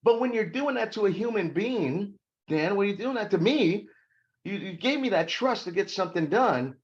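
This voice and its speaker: A man's voice: high voice, animated, moderate pace, muffled recording. A middle-aged man from Brazil, a guest on an interview podcast, talking emphatically about leadership and making self-deprecating jokes. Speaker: corporate security guest.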